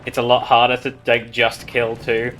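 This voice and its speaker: A man's voice: mid-range voice, monotone, normal speed, fairly bright tone, slight background noise. A man casually commentating on his own live speedrun as he plays.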